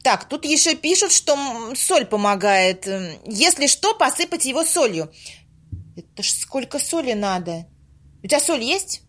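Bossy, snippy woman running a séance in a radio play, amateur actress: very high voice, grumbling colloquial delivery. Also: very animated, moderate pace, fairly bright tone, heavily acted. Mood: explaining. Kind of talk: amateur audio play acting.

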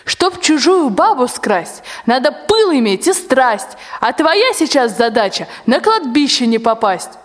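Actress as sharp-tongued servant nanny, high pitch, mocking, rhymed radio play, long reverb. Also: female voice, very animated, unhurried, few pauses. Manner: mocking, blunt, folksy.

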